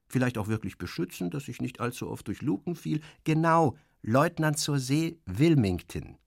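Sardonic journalist, animated and gravelly. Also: male voice, medium pitch, moderate pace, dry recording, studio quality. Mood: wry, agitated. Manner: wry, theatrical, clipped phrasing. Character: sardonic, self-assured male journalist narrating his travels. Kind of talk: literary first-person narration, dramatic audio reading.

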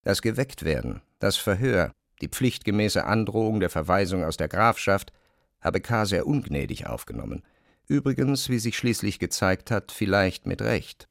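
A man's voice: on the low side, animated, normal speed, gravelly, no echo. A man reading scripted literary narration as the narrator of a classic novel, recorded close in a studio.

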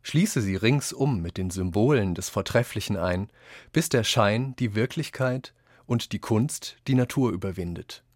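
Low-voiced man reading quotations, dry studio. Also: animated, unhurried, dry recording. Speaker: reader of quotations in a radio essay. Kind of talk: scripted literary reading.

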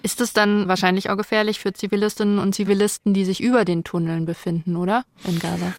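A woman's voice: medium pitch, steady tone, fast. A woman hosting an interview, asking questions in a conversational way. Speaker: interviewer, podcast host.

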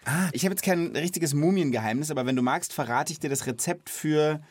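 A woman hosting a children's podcast in casual, playful chat, with colloquial, expressive talk. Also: sits low, brisk, a little hiss or hum.

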